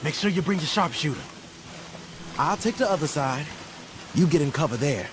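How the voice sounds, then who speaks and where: An actor playing an eager, cocky young Wild West outlaw with an American accent in a video game, talking in folksy, wry slang. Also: male voice, fairly high pitch, lively intonation, normal speed, background noise, lightly acted. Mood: alert, scheming.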